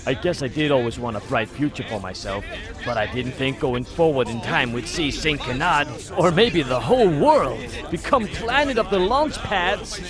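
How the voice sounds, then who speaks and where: Man doing an earnest, high-voiced cartoon sidekick. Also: expressive, moderate pace, close to an everyday voice. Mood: sheepish. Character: loyal, earnest, dim-witted big sidekick pilot. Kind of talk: cartoon comic dub acting. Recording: music behind the voice.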